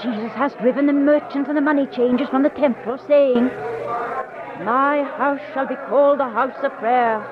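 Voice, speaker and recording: A woman's voice: high voice, fairly even intonation, moderate pace, lightly acted. An actress playing a kind, devout old woman of ancient times in an old-time radio drama. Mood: urgent. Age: older. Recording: dull, noisy radio recording with crowd.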